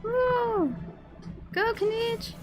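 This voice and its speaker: A woman's voice: very high voice, slow, over music. A woman streamer reacting casually to a game with exclamations and cheers.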